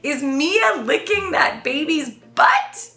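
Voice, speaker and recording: Lady doing a little storybook kitten acting as grand tour guide, high n bright, roomy sound. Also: expressive, takes it slowly, creaky, exaggerated voice. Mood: disgusted. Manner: theatrical tour-guide patter, exclaiming. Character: orphan baby kitten playing excitable pompous safari guide.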